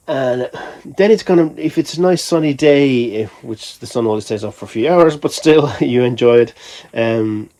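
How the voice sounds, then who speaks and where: A man's voice: medium pitch, darkish tone. A man from Finland, a musician, talking casually in a rambling, think-aloud way for a vlog. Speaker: musician vlogger.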